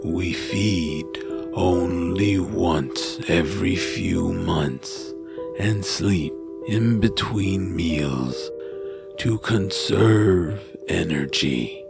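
An actor playing a gentle, gloomy giant creature near death, speaking slowly and solemnly in a family audio drama. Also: male voice, low voice, gravelly, heavily acted. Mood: grave, weary. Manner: slow, solemn, mournful. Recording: music behind the voice.

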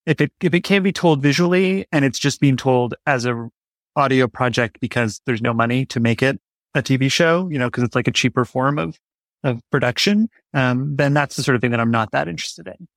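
A man talks casually as a guest writer on a podcast. His mid-pitched voice has a lot of fry, and the sound is very dry. He thinks aloud, often restarting and rewording as he explains. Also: a little fast, no room sound. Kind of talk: casual interview conversation.

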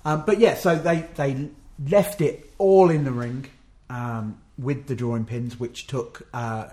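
A man's voice: mid-range voice, unhurried, mellow. Man recapping a wrestling show casually. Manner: affirming, lots of "yeah", recapping events. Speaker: podcast co-host.

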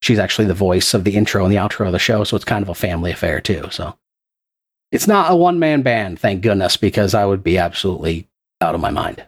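A man's voice: sits low, expressive, fast talker, breathy edge. A man who produces a podcast and runs its game, answering interview questions in an earnest, explanatory way with a few restarts, speaking into a podcast microphone. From Texas.

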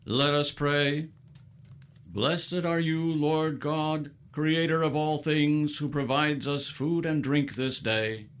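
A man playing a devout, hospitable father of biblical times in an audio drama, speaking in a formal, expressive way. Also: a little high, takes it slowly, clear, resonant tone. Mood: prayerful. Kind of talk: full-cast audio drama acting.

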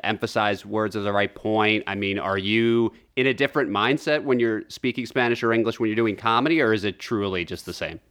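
A man's voice: lowish voice, fairly quick, few pauses, a bit bright. A man co-hosting a podcast, asking long rambling questions full of fillers. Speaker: podcast co-host. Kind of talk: casual podcast chat.

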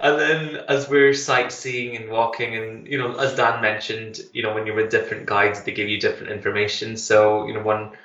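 Bright-voiced Scottish man, roomy dull line. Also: lowish voice, level tone, normal speed, few pauses. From Glasgow, Scotland. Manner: enthusiastic, many fillers and restarts. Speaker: tour manager guest. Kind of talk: casual radio interview.

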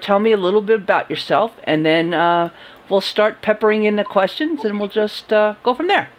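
A woman hosting a conversational interview, asking questions in a casual, informal way. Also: medium pitch. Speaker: host, interviewer.

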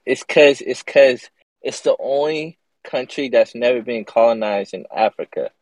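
A man's voice: mid-range voice, normal speed, no echo, rough recording. A young man co-hosting a casual podcast, laid-back and teasing, with some hesitant fillers. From United States. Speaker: podcast co-host.

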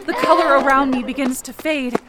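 A woman's voice: sits high, steady tone, a little fast. A woman narrating first-person horror fiction in the present tense, with dramatic, urgent storytelling. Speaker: podcast writer and performer. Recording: loud sound effects and noise behind.

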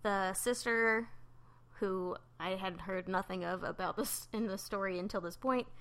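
Give me a woman with a bright voice recounting a crime story casually, full of fillers. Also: medium pitch, measured pace. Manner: loose and chatty, full of fillers like "um" and "like". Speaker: podcast co-host telling a true crime story.

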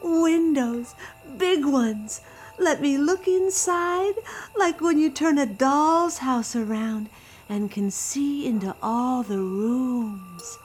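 A woman playing a grieving grown daughter, narrating an intimate audio drama about memories of home with tender, dramatic pauses. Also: high voice, expressive, a little mellow, soft background music. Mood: childlike wonder. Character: grieving grown daughter recalling her childhood home with wonder. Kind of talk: intimate first-person audio drama monologue.

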